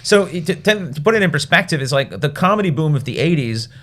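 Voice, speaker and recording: A man's voice: fairly high pitch, expressive, fairly bright tone. A man hosting a casual comedy podcast in a studio, rambling and cracking jokes. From Canada. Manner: rambling, joking, quick to laugh. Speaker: podcast host. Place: recording studio.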